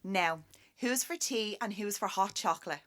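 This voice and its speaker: A woman's voice: mid-range voice, normal speed, fairly bright tone, natural voice. A woman playing a friendly, caring Irish police officer in a radio drama. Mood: warm, reassuring.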